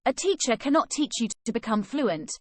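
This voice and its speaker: A woman reads a language lesson from a script, giving sample questions and answers and then study advice. Her voice sits fairly high and moves with a lot of pitch variation. The sound is dry and close, with no room echo. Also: animated, brisk. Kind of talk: reading a scripted lesson aloud.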